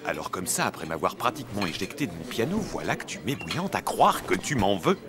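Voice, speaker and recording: Very deep-voiced, courteous cartoon painter. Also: male voice, sing-song, a little fast, over music. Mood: wry, polite. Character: courteous Montmartre painter, wistful about the past. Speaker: voice actor. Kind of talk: French animated series dialogue.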